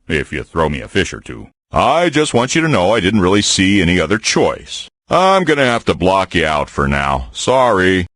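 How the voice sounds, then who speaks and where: Deep husky grizzly bear for a board game, folksy and lazy, voice swoops all over the place, dry close sound. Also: male voice, a bit bright, no room sound, heavily acted. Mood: folksy grumbling. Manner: folksy, drawling, jokey asides. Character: folksy good-natured grizzly bear, male, big and lazy. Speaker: game character voice. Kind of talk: game voice lines.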